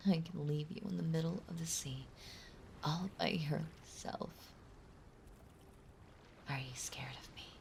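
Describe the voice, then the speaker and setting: A woman playing a possessive, playful yet menacing mermaid in an intimate roleplay audio drama, speaking in a soft, breathy, halting and teasing way. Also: lowish voice. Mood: quietly menacing. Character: possessive yandere mermaid, playful, sweetly menacing young female. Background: ocean ambience sound effects.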